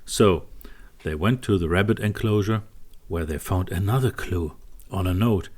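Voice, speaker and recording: A man narrating a scripted bedtime story for young children in a simple, deliberate way. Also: deep voice, a little mellow.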